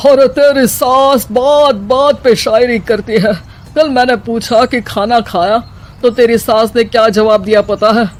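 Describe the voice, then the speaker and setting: Casting: a woman as henpecked old cartoon father-in-law, resigned, plaintive, unhurried. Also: fairly high pitch, fairly even intonation, close to an everyday voice. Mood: troubled, resigned. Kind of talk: animated story character acting.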